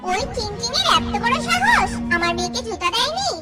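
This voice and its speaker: Woman as a scheming mother bird, spiteful, dramatic, high-pitched, kids' cartoon, loud music underneath. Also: very animated, a little fast, distant mic. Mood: vengeful. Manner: indignant, scheming.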